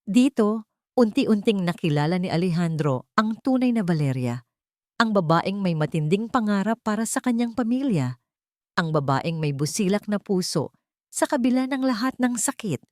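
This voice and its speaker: A woman's voice: mid-range voice, lively intonation, moderate pace, a little mellow, no room sound, studio quality. A woman reading a scripted dramatic love story as an audiobook narrator.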